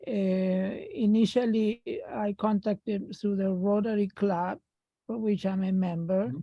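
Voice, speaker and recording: A woman in her sixties from Argentina with an Argentine Spanish accent, speaking slowly on a video call and pausing often with fillers as she tells her story. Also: mid-range voice, steady tone, no echo. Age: 60s. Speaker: artist guest. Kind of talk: remote panel talk. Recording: dull lo-fi video call audio.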